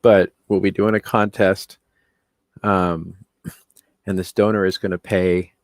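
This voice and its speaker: Low-voiced man casually hosting a livestream. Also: little pitch movement, unhurried, dry recording. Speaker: live stream host.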